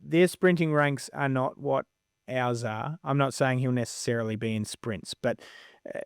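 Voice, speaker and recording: A man's voice: medium pitch, normal speed, vocal fry, clear, resonant tone, no room sound, clean studio sound. An Australian man co-hosting a horse racing show, chatting casually with blunt, opinionated remarks.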